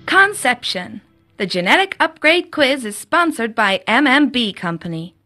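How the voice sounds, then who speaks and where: A woman's voice: on the high side, big pitch swings, unhurried. A woman playing a polished sponsor announcer in an audio drama. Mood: promotional. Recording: loud music bed.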